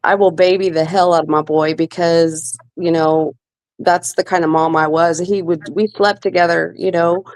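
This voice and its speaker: A middle-aged woman speaks as a panel guest over a video call, blunt, salty and self-mocking. Her voice sits a little low and sounds dry and close, with no room around it. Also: fairly even intonation, normal speed, darkish tone, dry recording. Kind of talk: casual chat.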